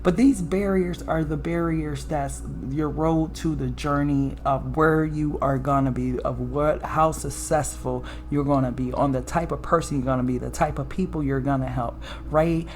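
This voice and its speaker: A woman with a raspy alto voice giving a casual, preachy spiritual tarot reading, often checking in with "okay?" and "right?". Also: steady tone, normal speed, darkish tone. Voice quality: low alto voice. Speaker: tarot reader.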